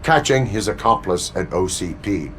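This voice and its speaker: Cyborg police officer, very low voice, terse, flat, dutiful, video game acting, faint noise behind. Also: male voice. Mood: stern, businesslike. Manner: terse, flat, deliberate, stoic. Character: cyborg policeman, stern, dutiful male, half machine.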